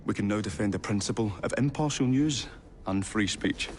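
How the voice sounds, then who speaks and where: A man's voice: on the low side, moderate pace, big-room sound, slight background noise, lightly acted. A man voicing a flustered, fussy, polite Victorian inventor who stammers in a game cutscene. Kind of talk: video game cutscene acting.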